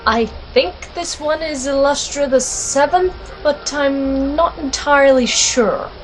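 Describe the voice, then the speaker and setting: The rebel heroine who narrates a fantasy radio serial, wry, defiant and high-voiced over faint noise a woman acting. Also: slow, poor audio. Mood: wry, resolute. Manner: wry, assertive. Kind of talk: first-person narration and audio drama acting.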